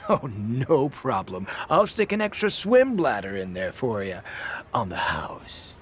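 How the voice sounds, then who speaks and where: An actor playing a cheery, sly fish seller in a video game, with showy hawker's patter and music behind. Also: male voice, medium pitch, huge pitch swings, a little slow. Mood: jovial, sly. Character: cheery, sly amateur fishmonger man hawking seafood. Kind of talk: video game character acting.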